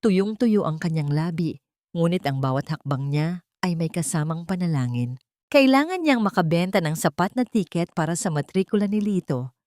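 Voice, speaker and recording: Low-pitched woman narrating dramatic story. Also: expressive, moderate pace, darkish tone, no room sound, clean studio sound.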